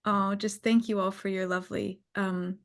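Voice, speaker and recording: A woman in casual, reflective conversation on a live online call, answering questions in a halting, thoughtful way as she searches for words. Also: medium pitch, flat delivery. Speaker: co-creator hosting a live audience call.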